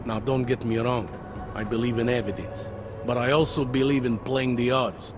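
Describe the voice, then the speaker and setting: An actor playing a weary, self-deprecating older cop near retirement in a video game, talking in a wry, conversational way. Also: male voice, mid-range voice, fairly even intonation, normal speed, natural voice. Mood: matter-of-fact. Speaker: police officer. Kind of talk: video game cutscene dialogue. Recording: background music under dialogue.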